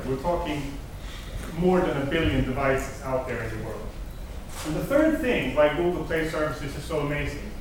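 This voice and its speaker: A man with a Swedish accent, native Swedish speaker, giving an upbeat technical conference talk and asking the audience questions. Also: fairly high pitch. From Sweden. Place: large conference hall. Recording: echoey hall, mic at a distance, noisy.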